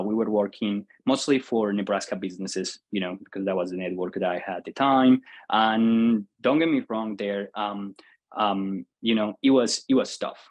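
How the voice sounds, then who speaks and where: Colombian-accented man in his thirties, Spanish native, lowish voice, halting and filler-heavy interview guest. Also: muffled recording. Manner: hesitant, many fillers and restarts. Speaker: guest, software entrepreneur. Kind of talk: casual interview answer.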